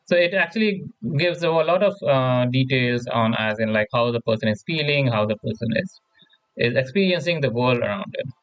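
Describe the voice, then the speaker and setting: A man with a mid-range voice explains material like an informal online tutor. He speaks haltingly, circles back over his phrases and keeps checking that the listener follows. The sound is dry and close, slightly dull and lo-fi. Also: medium pitch, lively intonation, no room sound. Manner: halting, repetitive, often seeks agreement with "right?". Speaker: tutorial presenter. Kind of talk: informal lecture explaining slides.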